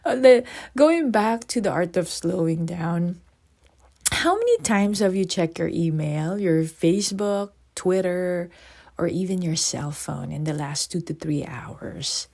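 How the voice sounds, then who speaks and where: A middle-aged woman from the Philippines, husky this morning, hosting her podcast in a chatty way and asking her listeners questions. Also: fairly low pitch, a little slow. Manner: chatty, asks listeners questions, animated asides. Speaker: podcast host and psychotherapist. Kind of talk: podcast monologue.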